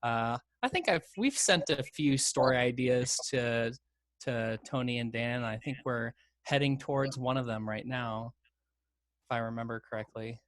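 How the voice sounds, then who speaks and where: A man's voice: medium pitch, normal speed, a bit bright, no echo. A man speaking as a volunteer voice actor, chatting casually on a panel. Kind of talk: casual chat.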